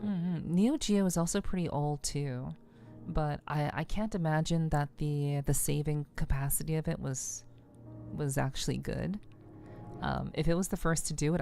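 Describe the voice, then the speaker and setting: A woman hosting a livestream, chatting casually and softly with her viewers in a relaxed, friendly way. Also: low-pitched, creaky. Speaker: livestream host.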